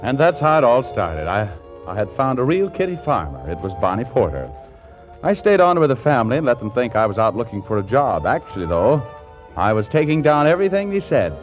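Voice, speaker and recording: A man acting a smooth, evasive young fellow in a 1940s radio comedy. His pitch moves in lively swings and he stammers when cornered. The sound is muffled, with music in the recording. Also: fairly quick, natural voice. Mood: wry narrating.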